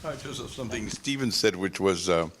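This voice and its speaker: A man's voice: lowish voice, lively intonation, brisk, noisy background. A man speaking on a conference panel, discursive and explaining with homely analogies.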